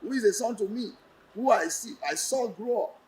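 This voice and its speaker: A man with a Nigerian accent explaining in an interview, deliberate and halting, repeating phrases for emphasis. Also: high voice, slightly rough, low-quality recording. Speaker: lecturer and play director interviewed.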